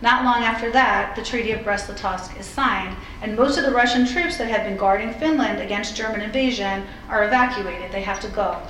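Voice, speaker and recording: A woman's voice: mid-range voice, brisk, hardly any pauses, fairly bright tone. Woman lecturing in an echoey room. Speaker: lecturer presenting a historical talk. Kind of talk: scripted history lecture, reading quotations. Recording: roomy echo with faint background noise.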